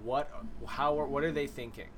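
A man's voice: mid-range voice, fairly even intonation, moderate pace, slight background noise. A man co-hosting a casual hockey podcast, arguing points and teasing his friends. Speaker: podcast co-host.

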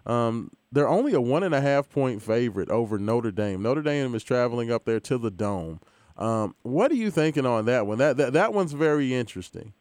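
A man hosting a casual sports betting chat, easygoing and quick to laugh, hand-offs loose with fillers. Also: medium pitch, lively intonation, a little mellow, dry recording. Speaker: host.

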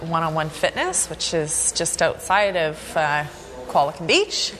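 A woman's voice: deep voice, sing-song, unhurried, fairly bright tone, low-quality recording. A woman with a Canadian accent, a gym trainer, talking in a documentary interview.

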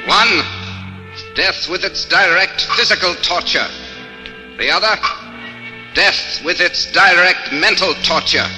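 An actor playing a coldly tormenting, ghostly inquisitor friar in a 1940s radio drama, formal and menacing. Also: male voice, sits high, slow, pauses often. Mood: coldly taunting. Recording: echoing old radio recording, loud music.

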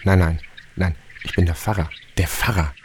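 Man playing a stern, low-voiced village priest. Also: fairly even intonation, moderate pace, slight background noise, natural voice. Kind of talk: German audio drama acting.

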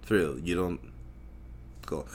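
A man's voice: deep voice, slow pace. A young man hosting a solo podcast, rambling in a crude, profane and self-mocking way that often loses its thread. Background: faint noise from outside.

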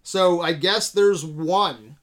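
Higher-voiced man casually talking movies, slangy. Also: takes it slowly, fairly bright tone. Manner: informal, slangy, swearing freely. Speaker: podcast host. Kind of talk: casual movie discussion podcast.

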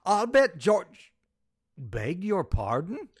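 Man playing theatrical bachelor, high swooping voice. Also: wildly swooping pitch, takes it slowly, slightly rough, dry recording, clean studio sound, heavily acted. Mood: concerned. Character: suave, excitable bachelor man about town, a sitcom friend. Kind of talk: radio sitcom acting.

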